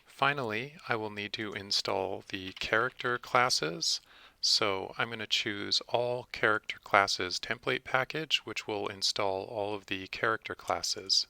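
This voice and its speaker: Man, lowish pitch, very sharp bright tone, methodical step-by-step instruction, screencast narration, close microphone. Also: unhurried, vocal fry, smooth, no echo. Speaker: software tutorial presenter.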